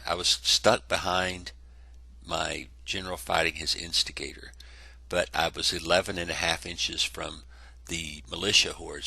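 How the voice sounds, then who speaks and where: Man, congested, very low husky voice with a bright edge, rambling casual wargame recap. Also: no room sound. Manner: rambling, self-correcting, lots of fillers. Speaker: tabletop wargame player narrating a battle report. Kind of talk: casual battle report narration over photos.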